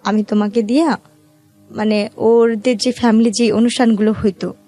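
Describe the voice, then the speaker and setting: A Bangladeshi woman with a fairly high voice recounts her personal story as an interview guest. She speaks in a colloquial, conversational way, with faint music underneath. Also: steady tone, normal speed, dark tone.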